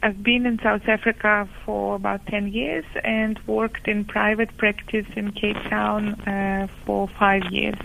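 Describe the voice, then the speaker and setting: A woman from Ukraine with a Ukrainian accent, a gynecologist explaining her work in a measured, hesitant way as a guest on a radio phone-in. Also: medium pitch, vocal fry, fairly bright tone. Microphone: telephone. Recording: tinny phone line.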